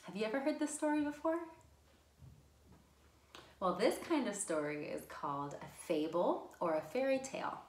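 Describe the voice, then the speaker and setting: A woman's voice: mid-range voice, lively intonation, normal speed, pauses often, darkish tone, roomy sound. A woman hosting a children's storytime, speaking simply and encouragingly as if to young children. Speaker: children's library storytime host.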